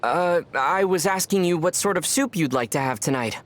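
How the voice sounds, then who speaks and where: A man playing a calm young traveler hero in an anime video game, gently teasing in a measured way. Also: fairly high pitch. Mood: curious.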